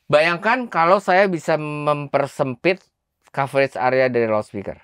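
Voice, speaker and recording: A man's voice: a little high, a little slow, a bit bright, smooth, no echo, studio quality. Emphatic man teaching audio. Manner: emphatic, repeats phrases, quizzes the listener. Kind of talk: informal technical explanation in conversation.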